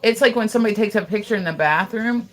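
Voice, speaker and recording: A woman's voice: mid-range voice, fast talker. An American woman livestreaming from her house, chatting casually and gossipy, full of fillers and swearing, answering her viewers as she goes. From United States. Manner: chatty, gossipy, loose and profane, lots of "like" fillers.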